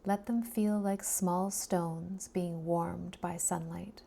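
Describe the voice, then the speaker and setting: A woman guiding a body scan meditation in a soft, soothing, breathy voice that often trails into a near-whisper, leaving long pauses between phrases. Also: on the low side, fairly even intonation. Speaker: meditation guide.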